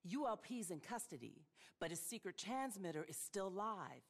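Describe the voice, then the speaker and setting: A woman's voice: mid-range voice, very animated, moderate pace. A woman acting a stern, blunt, exasperated middle-aged government boss in a video game. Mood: brisk, firm. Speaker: government official.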